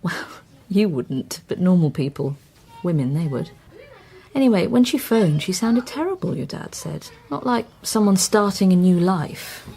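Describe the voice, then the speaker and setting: An actress playing a wry, chatty young woman with a soft husky voice, in naturalistic radio drama dialogue. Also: lowish voice, very animated, unhurried, faint music behind. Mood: wry, speculative. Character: wry, chatty young wife puzzling over a mystery.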